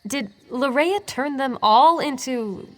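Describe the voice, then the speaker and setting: A woman's voice: sits high, slow pace. A woman playing a devout, grave noblewoman in a video game, speaking with earnest solemnity. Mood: sorrowful. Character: devout, grave noblewoman loyal to her people. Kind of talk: video game character acting.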